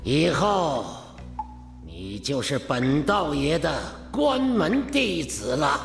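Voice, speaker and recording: A man playing a sinister old Daoist master in an audio drama, drawling, mocking and cruel, with a gravelly voice. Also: fairly high pitch, sing-song, takes it slowly, full character voice. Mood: commanding. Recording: echoey reverberant cave effect, music behind.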